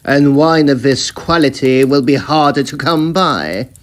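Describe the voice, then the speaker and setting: A man's voice: mid-range voice, a little slow, close to an everyday voice. A man playing a greedy, scheming middle-aged Victorian guardian in old-time radio melodrama, with emphatic, formal period diction. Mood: sly, measured.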